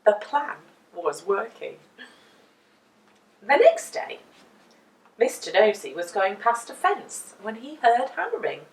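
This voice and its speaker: A woman telling a children's story in an expressive, deliberate way with dramatic emphasis. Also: fairly high pitch. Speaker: storyteller reading a children's book. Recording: roomy echo, distant microphone.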